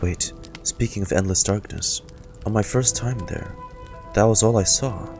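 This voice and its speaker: A man's voice: fairly low pitch, normal speed. A man streaming a game, reading dialogue aloud and reacting to it as he goes. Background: game music.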